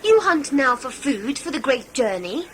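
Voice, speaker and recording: A woman's voice: high-pitched, sing-song, moderate pace, a bit bright, slight background noise. A woman playing a wilful, yearning young huntress in a sci-fi audio play, impassioned and theatrical. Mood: defiant.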